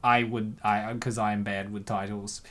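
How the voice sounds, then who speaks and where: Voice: mid-range voice, flat delivery, normal speed. A co-host narrating a comic dub and reacting casually, with an ironic, teasing manner.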